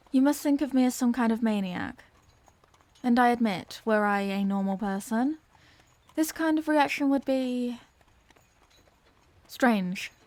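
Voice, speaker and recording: A woman's voice: a little high, a little mellow, dry recording. A woman voicing an anguished, tender Victorian lady vampire in an intimate audio roleplay, speaking in formal, earnest phrases. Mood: reassuring, apologetic. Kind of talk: scripted audio roleplay, intimate dramatic monologue.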